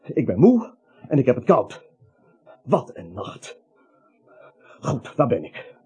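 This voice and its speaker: A man's voice: medium pitch, wildly swooping pitch, takes it slowly, dark tone, husky, full character voice. An actor playing a whining, wisecracking nervous man wrongly accused, in a stagey comic radio play. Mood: sarcastic, weary. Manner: whining, pleading, dryly sarcastic. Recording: dull lo-fi radio play recording.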